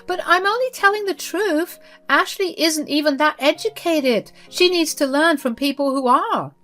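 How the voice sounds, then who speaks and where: A woman voicing a pushy, scornful older mother-in-law who talks down in a shrill, condescending way. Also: normal speed, fairly bright tone, faint music behind.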